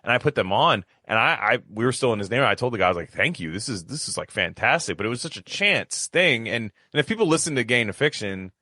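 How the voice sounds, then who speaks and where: A man's voice: mid-range voice, expressive, fast talker, few pauses, pushed and bright, no room sound, studio quality. A man chatting casually on a podcast, telling stories in a rambling, slangy way and often repeating himself. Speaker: podcast guest telling his story. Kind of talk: casual conversational storytelling.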